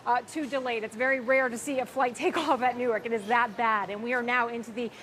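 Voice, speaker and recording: A woman's voice: fairly high pitch, steady tone, fast, a bit bright. A woman working as a field reporter, giving a live news report from an airport. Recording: noisy airport location sound. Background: clear airport ambient noise.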